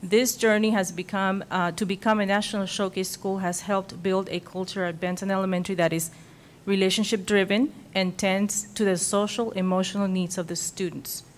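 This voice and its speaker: A woman's voice: medium pitch, fairly even intonation, moderate pace, slight background noise. A woman administrator formally presenting a campus recognition to a school board from a podium. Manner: reads prepared remarks, formal. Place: school board meeting room.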